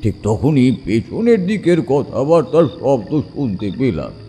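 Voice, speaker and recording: A male actor plays an older village storyteller in a horror audio drama, with a very animated, swooping delivery. He builds suspense deliberately with dramatic pauses, over faint background music. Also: medium pitch. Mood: grave, reflective. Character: older village man recounting an eerie youthful ghost encounter.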